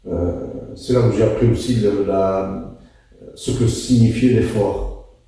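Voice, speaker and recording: A man in his sixties speaks from a distance in a very reverberant room. His voice is very dark and gravelly. He talks in a reflective, halting way, choosing words with care and repeating some for weight. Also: fairly low pitch, steady tone. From Morocco, moved to France at thirteen. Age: 60s. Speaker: interview guest, physics teacher. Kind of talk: reflective conversational interview answers. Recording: very echoey room, distant mic.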